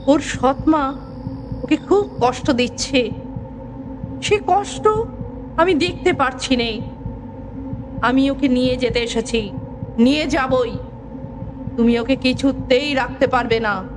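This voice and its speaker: Woman acting slow, high-voiced ghostly mother. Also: background noise. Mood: anguished, determined. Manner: slow, solemn, insistent. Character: ghost of a dead mother, sorrowful and fiercely determined. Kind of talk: dramatized horror audio story.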